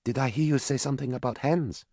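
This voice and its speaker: A man voicing a soft-spoken wistful woman. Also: mid-range voice, fast, no echo, clean studio sound, voice pushed up, lightly acted. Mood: curious. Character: quiet, thoughtful woman, a teacher.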